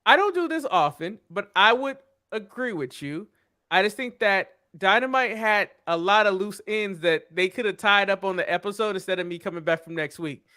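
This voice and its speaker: Man, podcast co-host, high voice, smooth bright tone, teasing, dry close sound. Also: no room sound. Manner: teasing, good-natured ribbing. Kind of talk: casual podcast banter.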